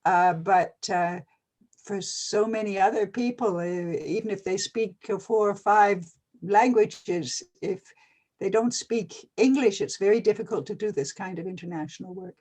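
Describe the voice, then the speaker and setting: An older person from Canada, talking casually and hesitantly with many pauses and fillers. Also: mid-range voice, a little mellow. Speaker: retired NGO advisor. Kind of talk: casual video-call conversation.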